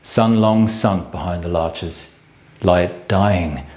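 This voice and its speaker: An actor playing a bleak, solitary man brooding on death in a theatrical monologue, speaking in grave, halting fragments. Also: low-pitched, darkish tone. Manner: halting, clipped fragments with measured pauses. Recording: echoey room sound.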